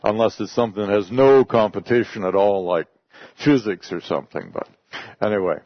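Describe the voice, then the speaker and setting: Low-voiced man giving a missionary report. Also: brisk, no echo. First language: English. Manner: folksy, conversational storytelling. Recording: dull lo-fi recording.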